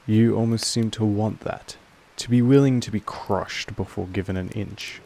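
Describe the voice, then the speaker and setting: Casting: male actor as commanding vampire master for roleplay audio. Low-pitched, very even, possessive and patient, deliberate pauses. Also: a little hiss or hum, natural voice. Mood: controlled, quietly menacing. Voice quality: low, creaky. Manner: slow, deliberate, measured delivery with weighty pauses. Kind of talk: scripted roleplay audio monologue addressed to the listener.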